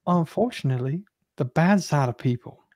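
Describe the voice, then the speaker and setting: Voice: medium pitch, expressive, normal speed, darkish tone, no room sound. A reader with a dry comic delivery, moving between casual banter and dramatic email reading.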